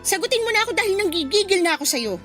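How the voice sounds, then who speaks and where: A woman's voice: very high voice, lively intonation, racing, pushed and bright, soft background music, full character voice. A woman acting a hurt, furious young woman in a Filipino radio drama, with an emphatic, accusing delivery. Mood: angry, accusing. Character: hurt, furious young woman confronting her cheating boyfriend.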